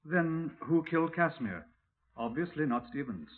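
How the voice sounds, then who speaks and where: An actor playing a deferential, methodical British detective in a formal, measured 1950s radio drama style on an old recording. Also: male voice, on the high side, expressive. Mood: pondering. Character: deferential, methodical male Scotland Yard detective. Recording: tinny, narrow-band vintage radio recording.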